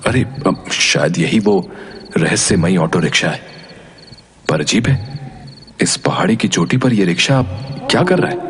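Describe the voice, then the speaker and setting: A man's voice: low-pitched, animated, measured pace, vocal fry, pauses often, slightly rough, heavily acted. A man voicing an earnest, curious young male reporter in a cartoon story, often thinking aloud. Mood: puzzled, determined.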